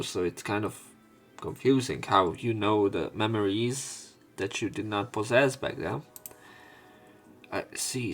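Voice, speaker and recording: A man reading visual novel text aloud as dramatic narration for a let's play. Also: lowish voice.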